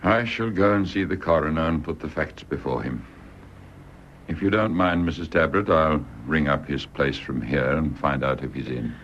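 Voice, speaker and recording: A man's voice: rumbling low, normal speed, fairly bright tone. An actor playing a sober, matter-of-fact British professional man in a radio drama. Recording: muffled radio recording, clear background noise.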